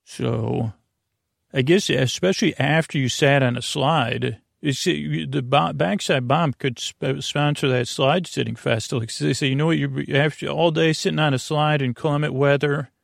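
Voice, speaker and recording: A man hosting a sleep podcast in a long-winded, halting monologue that keeps drifting off topic, with a gravelly, creaky voice. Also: fairly high pitch, steady tone, no room sound, clean studio sound. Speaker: podcast host.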